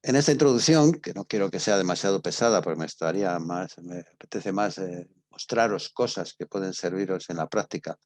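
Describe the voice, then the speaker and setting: Deep-voiced older Castilian Spanish man lecturing. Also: a little fast, hardly any pauses, a little mellow. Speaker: teacher giving a radio theatre workshop. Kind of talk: introductory lecture.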